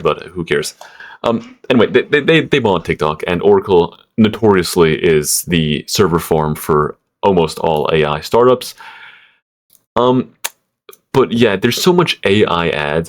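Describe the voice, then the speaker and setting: A young man from Switzerland ranting casually to camera, rambling with stammered restarts, lots of fillers and swearing. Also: low-pitched. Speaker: vlogger.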